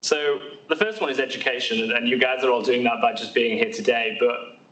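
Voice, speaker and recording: A man's voice: medium pitch, a little fast, fairly bright tone. A young British haematology doctor, a man, giving a friendly seminar talk to patients, with many fillers and restarts. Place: large echoey hospital hall. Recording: roomy hall sound from far off.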